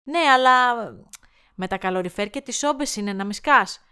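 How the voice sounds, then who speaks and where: A flighty young woman with a high voice , acted by a woman in an audio drama. She is theatrical and cheeky, rambling on with sarcasm. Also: pushed and bright, clear, resonant tone. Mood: chatty, sarcastic. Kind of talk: amateur audio drama acting.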